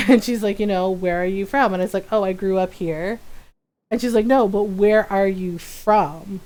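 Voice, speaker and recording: Casual American woman streamer telling personal stories. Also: mid-range voice. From United States. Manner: animated retelling with acted-out quoted dialogue. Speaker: live streamer.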